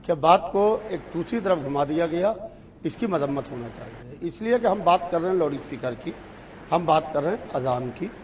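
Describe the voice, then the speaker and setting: Calm, deliberate cleric, man. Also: sits high, a little hiss or hum, rough recording. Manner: calm, deliberate, repeats affirmations. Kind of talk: measured TV debate statement.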